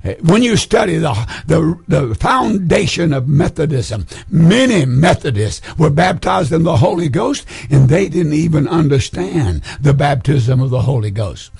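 A hoarse man preaching with a rough, gravelly rasp and a slightly raised pitch. He presses his points hard and repeats key phrases. The sound is close and dry, with almost no room around it. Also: big pitch swings, measured pace, few pauses, darkish tone, no room sound. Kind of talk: Pentecostal sermon teaching.

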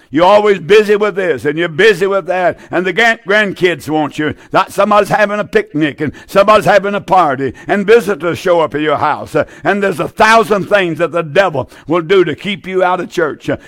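An older man with a high-pitched voice delivers an evangelical sermon as a radio preacher. He exhorts his listeners directly, repeating key phrases and putting questions to them. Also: a bit bright.

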